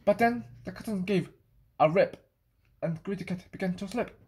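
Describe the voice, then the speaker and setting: A woman reading a children's picture book aloud, sometimes halting and repeating phrases. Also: mid-range voice, darkish tone, a little hiss or hum. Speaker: storybook reader.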